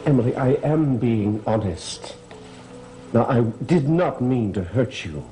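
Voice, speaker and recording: An actor playing a brooding, troubled master of the house in a gothic soap, earnest and restrained. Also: male voice, medium pitch, animated, a little slow, mellow, soft background music, close to an everyday voice. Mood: regretful, torn. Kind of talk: gothic soap opera acting.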